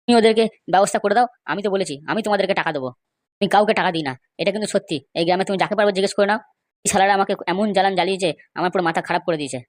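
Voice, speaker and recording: Woman voicing a rapid-fire scheming male villager. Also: medium pitch, no room sound, clean studio sound, natural voice. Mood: indignant. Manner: emphatic, gossipy, rapid-fire complaining. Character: aggrieved, scheming male villager bent on revenge. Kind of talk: amateur comedy cartoon dialogue.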